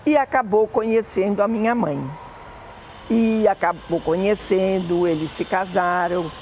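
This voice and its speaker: An older woman with a Brazilian Portuguese accent, fondly recalling family memories in an oral history interview, often pausing to correct herself. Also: medium pitch, steady tone, a little mellow, slight background noise. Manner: fond, meandering reminiscing with self-corrections.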